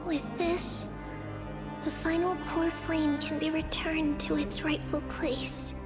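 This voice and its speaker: A woman voicing a gentle, grave little priestess in a fantasy video game, soft and careful. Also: high-pitched, little pitch movement, slow pace. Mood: solemn. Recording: long reverb over faint music.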